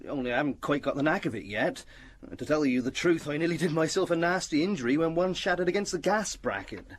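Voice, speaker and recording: A sheepish British would-be conjurer in a radio mystery. His voice sits a little high, and he rambles eagerly and apologetically, with small stumbles. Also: animated, fast talker. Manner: chatty, apologetic, hesitant. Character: modest, eager, bashful amateur stage magician. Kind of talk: British radio drama acting.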